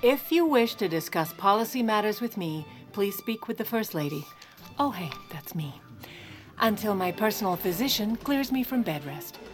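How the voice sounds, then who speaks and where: A woman's voice: medium pitch, expressive, normal speed, over music, natural voice. An actress playing a wry, bossy First Lady with an American accent and a dry, sarcastic manner in an audio drama. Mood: sarcastic, commanding. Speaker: lead character and narrator. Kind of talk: audio drama acting and narration.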